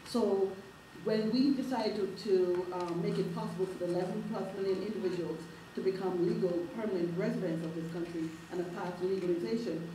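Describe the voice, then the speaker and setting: A middle-aged woman with a Jamaican accent, giving an earnest, halting town hall speech full of fillers and restarts. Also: mid-range voice, warm tone, distant mic. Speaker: civil rights advocate speaking at a town hall. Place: public meeting hall. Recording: roomy hall recorded from afar, noisy.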